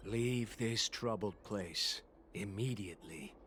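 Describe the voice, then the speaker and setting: An actor playing a stern, proud healer in a video game, speaking in a formal, firm and admonishing way. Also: male voice, fairly low pitch, slow, a bit bright, a little hiss or hum. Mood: stern warning. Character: stern, proud male healer warning off an outcast.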